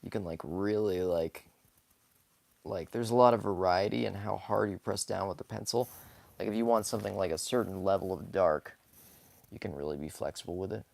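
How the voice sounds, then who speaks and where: A hoarse young man talks casually while sketching live, rambling softly with many fillers and small laughs. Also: deep voice, a little fast, no room sound. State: hoarse, scratchy from talking too much. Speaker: live-streaming sketch artist. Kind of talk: casual commentary while drawing.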